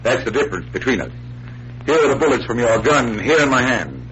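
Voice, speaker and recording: A male stage magician who solves crimes in an old radio drama. He is assured and teasing, with a theatrical, very lively delivery at a medium pitch. The vintage recording is muffled and slightly hissy. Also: fairly quick. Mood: smug, taunting. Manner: theatrical, precise, playful taunting. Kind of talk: 1940s radio mystery acting.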